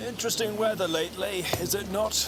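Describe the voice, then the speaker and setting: An actor playing an urbane, sardonic journalist and schemer in an audio drama, droll and theatrical in delivery. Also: male voice, high voice, fairly even intonation, quick pace, close to an everyday voice. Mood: wry, casual. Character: urbane, sardonic male state media journalist, flamboyant schemer. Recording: noisy ambience behind the voice.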